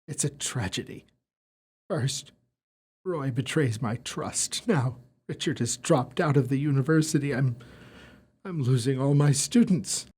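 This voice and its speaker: A man's voice: medium pitch, measured pace, a little mellow, slightly rough. A man playing an easily shocked, earnest university professor in an audio drama, speaking dramatically. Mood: dejected.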